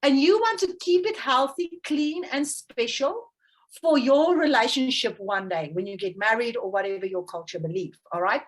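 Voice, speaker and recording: High-voiced Afrikaans-woman coach. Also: animated, moderate pace, low-quality recording. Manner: warm storytelling, laughs often, checks in with "okay". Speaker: parenting coach. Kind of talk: interview guest explaining.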